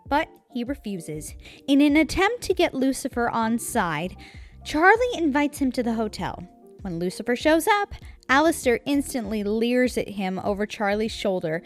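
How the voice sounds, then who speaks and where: Female recap narrator, playful and wry, slightly high voice, faint music underneath. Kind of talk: scripted narration, animated recap. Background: background music.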